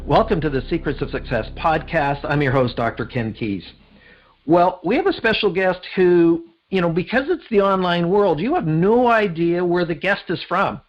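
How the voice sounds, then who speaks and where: A man's voice: high-pitched, expressive, moderate pace, muffled recording. A man with a Canadian accent, speaking conversationally as a podcast host and interviewer.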